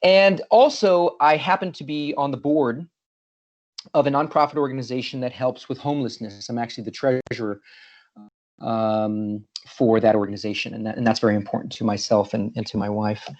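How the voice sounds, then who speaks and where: A man's voice: mid-range voice, fairly even intonation, brisk, a little mellow, muffled recording. Friendly man repeating himself on a call. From California, USA. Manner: friendly, with many restarts and repeated words. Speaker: guest speaker. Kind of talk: casual presentation. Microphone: video call.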